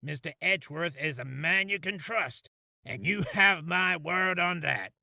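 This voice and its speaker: A man voicing a gruff but loyal, earnest police detective with a blunt, informal manner, for a character reel. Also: fairly high pitch, a little slow, sharp tone, no room sound, studio quality, exaggerated voice. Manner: blunt, informal, tags phrases with 'see' and 'pal'.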